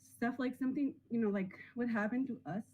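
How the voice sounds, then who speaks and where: A woman's voice: on the high side, fairly even intonation, a little fast, lo-fi sound. A woman in her twenties from Israel arguing in an online video chat, speaking with many fillers like "like". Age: 20s. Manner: filler-heavy, lots of "like".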